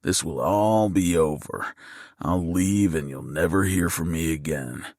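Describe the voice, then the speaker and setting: A man voicing a gruff, low-voiced masked gunman who threatens a family, in an audiobook. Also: unhurried, darkish tone, dry recording, studio quality. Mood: threatening.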